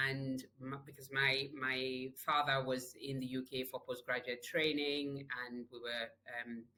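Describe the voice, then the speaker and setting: Nigerian-raised woman in her 50s, guest on a podcast, bright kinda forceful voice, thinks out loud, lots of um and restarts. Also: very deep voice, low-quality recording. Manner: thoughtful, unhurried storytelling with fillers and restarts. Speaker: guest, global health leader. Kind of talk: interview answers.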